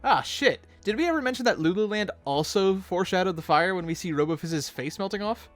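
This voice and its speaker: A high-voiced man co-hosts an animated-episode commentary. His intonation swings widely, and he banters in a chatty, animated manner over quiet music. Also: fairly quick. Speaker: co-host of fan commentary video.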